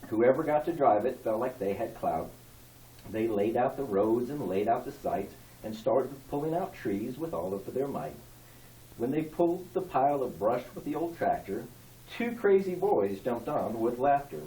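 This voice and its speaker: A man reading a rhyming poem aloud at a party like an expressive amateur storyteller. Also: mid-range voice, moderate pace. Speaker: family member reading a tribute poem. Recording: echoey room, distant mic, background noise.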